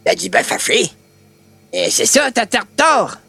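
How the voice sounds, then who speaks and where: An old Québécois grandpa for an old-time radio comedy. The voice is high, bright and creaky, swinging widely in pitch. He is cheerful, folksy and cheekily oblivious, full of drawn-out exclamations. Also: big pitch swings, slight background noise, heavily acted. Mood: curious, puzzled. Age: older. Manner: folksy, drawling exclamations and repeated tag words. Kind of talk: comedy radio serial acting in 1940s manner.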